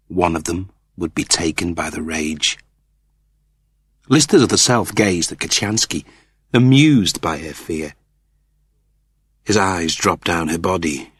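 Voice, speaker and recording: A man's voice: low-pitched, sharp tone, slightly rough, dry recording, clean studio sound. A man reading a science fiction novel aloud as a narrator, with theatrical, intense storytelling. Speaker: audiobook narrator.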